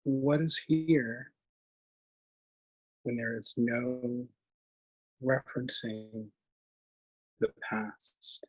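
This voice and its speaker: A man leading a guided meditation in a gentle, unhurried manner, leaving long pauses between short phrases. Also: mid-range voice, breathy edge, poor audio. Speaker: meditation instructor.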